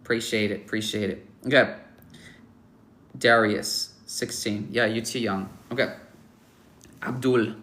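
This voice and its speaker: A man's voice: fairly low pitch, steady tone, unhurried, pauses often, a bit bright. A young man hosting a casual livestream, giving blunt, offhand verdicts on viewers' photos with lots of fillers and teasing jokes at the chat. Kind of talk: casual live-stream chat.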